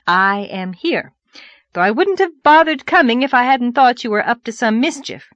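A woman voicing a tiny, brisk, bossy wife of nearly fifty in an audiobook, chirping and self-assured. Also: a little high, lively intonation, a bit bright, no echo, muffled recording, pitched above the performer's own voice. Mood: knowing, indignant. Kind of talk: audiobook character voice.

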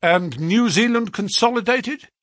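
A man voicing a brisk, sharp-talking middle-aged male financier in a read-aloud story, speaking eagerly and quickly. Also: sits high, no echo, studio quality, pitched above the performer's own voice.

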